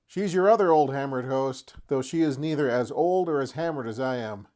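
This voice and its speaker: Middle-aged male host, mid-pitched voice, folksy and wry, casual showcase delivery. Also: normal speed, mellow. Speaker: host of a tabletop wargaming channel. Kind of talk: casual product showcase to camera.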